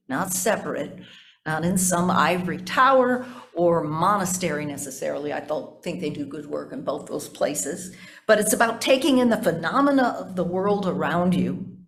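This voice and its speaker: An older woman delivers a sermon as a pastor. She speaks deliberately and with emphasis on key words. Her reflective preaching loosens into folksy, conversational asides and rhetorical questions. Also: medium pitch.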